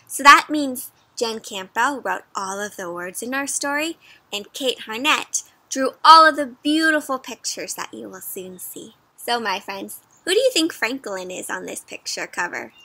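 Bright, high-voiced young woman reading to kids. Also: moderate pace. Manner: animated, sing-song, addressing children with questions. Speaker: children's storybook reader and host. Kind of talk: interactive read-aloud for young children. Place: outdoors in a leafy yard.

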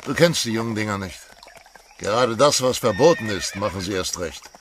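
A man's voice: fairly low pitch, animated, normal speed, fairly bright tone, slight background noise. An actor playing a blunt, skeptical male rancher in a radio play, speaking in a matter-of-fact way.

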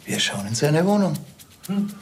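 An actor playing a laconic, sardonic Bavarian police detective with a deadpan delivery. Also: male voice, a little high, normal speed. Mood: businesslike, dry. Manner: dry, deadpan.

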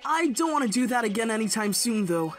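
A man's voice: sits high, big pitch swings, brisk. A man voicing an eager, sweet young boy fox sidekick in a comic dub. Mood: relieved. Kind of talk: comic dub character acting. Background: music.

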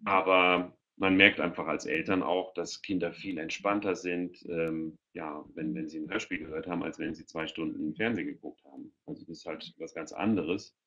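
A man's voice: sits low, steady tone, normal speed, hardly any pauses, a bit bright. A middle-aged man talking informally as an expert in an online discussion, speaking into a headset. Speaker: expert panelist. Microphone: headset on a video call. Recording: dull, lo-fi video call audio.

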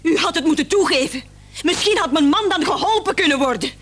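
Insistent wife, high and animated. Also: female voice, a little fast. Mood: indignant, accusing. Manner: insistent, sharply reproachful. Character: determined, protective wife of a sick man. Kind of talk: Dutch-language radio play acting.

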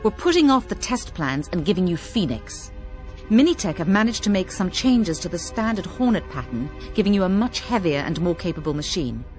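An actress playing a brisk military officer giving a scripted mission briefing, firm and matter-of-fact. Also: female voice, fairly low pitch, very animated, a little mellow, faint music behind.

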